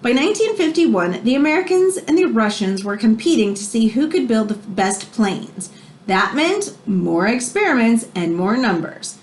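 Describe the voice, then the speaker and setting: A woman teacher reading a children's nonfiction book aloud, explaining as she goes and stressing key phrases. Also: fairly high pitch. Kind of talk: expressive read-aloud of a children's nonfiction book with brief asides.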